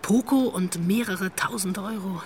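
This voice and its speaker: A woman's voice: mid-range voice, measured pace, a little hiss or hum, close to an everyday voice. An actress playing a wry, worldly police investigator in a radio drama, telling what she knows in a knowing manner. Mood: teasing, confiding. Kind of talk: naturalistic radio drama acting.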